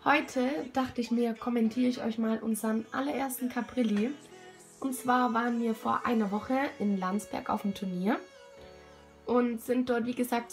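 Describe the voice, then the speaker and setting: A hoarse young woman with a cold, talking casually and self-critically over a video of her riding. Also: fairly high pitch. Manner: self-critical, chatty, many fillers. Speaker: rider commenting her own show-jumping test video. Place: at home, voice-over.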